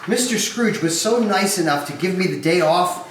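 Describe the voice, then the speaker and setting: A man's voice: high-pitched, animated, normal speed. A man playing a meek, affectionate father of a poor family in an amateur dramatic reading. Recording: very echoey room, faint noise.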